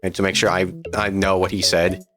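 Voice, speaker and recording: A man with a slight lisp talking earnestly on a podcast call, in quick bursts full of fillers. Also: sits low, level tone, over music. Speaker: podcast guest. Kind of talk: casual voice-call chat.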